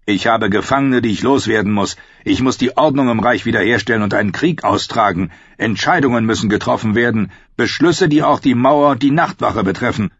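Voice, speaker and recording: A man's voice: mid-range voice, fairly quick, hardly any pauses, fairly bright tone, husky, no room sound, voice pushed up. A man voicing a cold, impatient king who speaks curtly and commandingly, in a slightly dull audiobook recording. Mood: stern. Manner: curt, commanding.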